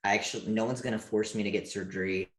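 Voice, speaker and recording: A candid man around thirty with a fairly low voice, recorded in an echoey room. He talks informally in a personal interview style, peppering his sentences with "like". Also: steady tone, fast, fairly bright tone. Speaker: interview guest, activist. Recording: roomy, echoey sound.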